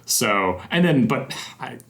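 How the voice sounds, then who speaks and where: A man's voice: mid-range voice, big pitch swings, slow, a bit bright. A young man hosting a casual podcast interview, chatty and rambling with lots of fillers and restarts. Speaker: podcast host.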